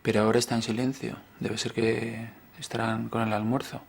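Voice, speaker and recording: Male conductor, quick chatty diary talk, gravelly and flat. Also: mid-range voice. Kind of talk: video diary.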